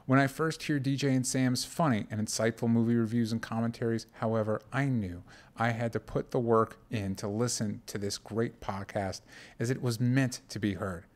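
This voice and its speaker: A man's voice: medium pitch, steady tone, moderate pace. A young man co-hosting a podcast, talking in casual, comedic banter. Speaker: podcast co-host.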